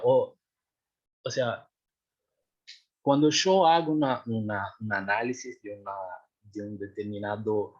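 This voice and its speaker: Young man, husky voice, dull lo-fi sound, hesitant seminar explanation. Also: medium pitch. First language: Portuguese. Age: young adult. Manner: hesitant, many fillers and restarts, checks if understood. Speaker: doctoral researcher presenting a seminar.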